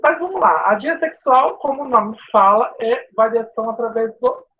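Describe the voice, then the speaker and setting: Woman language teacher, coastal São Paulo accent, hissing S, slightly high voice, informal online class. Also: animated, normal speed, fairly bright tone, rough recording. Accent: Brazilian Portuguese, coastal São Paulo. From São Paulo state coast, Brazil. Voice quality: sibilant S. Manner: informal, chatty, frequent "né?" tags and restarts.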